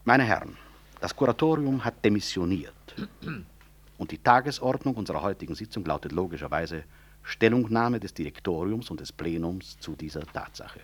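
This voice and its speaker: An actor playing an urbane hospital director in a German radio play, chairing formally with courteous, measured, dry irony. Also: male voice, low-pitched, animated, breathy edge. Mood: composed irony. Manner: courteous, measured, dryly ironic.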